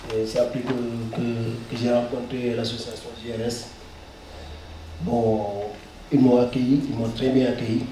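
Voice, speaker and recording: Echoey Mauritanian man, even-toned and halting. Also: mid-range voice. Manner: halting, repeats words and phrases. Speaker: guest speaker, refugee giving testimony. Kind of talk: personal testimony at a press conference. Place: indoor press conference room. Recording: roomy echo, distant mic, faint noise.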